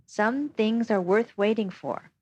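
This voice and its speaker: A woman voicing Jonah, a patient, calm older Swiss man, in an audiobook reading. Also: medium pitch, lo-fi sound. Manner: calm, unhurried, reassuring.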